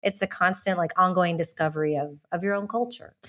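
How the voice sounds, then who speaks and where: A woman's voice: on the low side, fairly quick, a bit bright. A woman in her thirties from Texas, talking as a podcast guest in a candid, reflective way, laughing easily. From Texas, United States. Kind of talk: casual interview chat.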